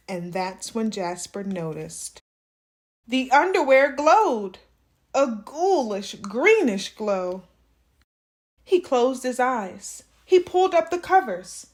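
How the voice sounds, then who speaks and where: A woman reading a children's storybook aloud with expressive, dramatic emphasis on key words. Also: fairly high pitch. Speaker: children's read-aloud host.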